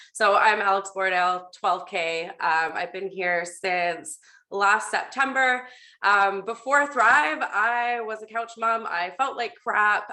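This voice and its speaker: A woman's voice: mid-range voice, bright, forceful tone. A woman hosting a casual group call, talking in an upbeat, chatty and conversational way. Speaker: host of a team video call.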